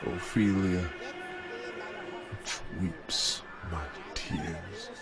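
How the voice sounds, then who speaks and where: A man's voice: deep voice, fairly even intonation. A man playing brooding, scornful Hamlet. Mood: bitter, mocking. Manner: slow, brooding, contemptuous delivery. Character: bitter, mocking young prince Hamlet. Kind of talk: experimental audio play. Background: music.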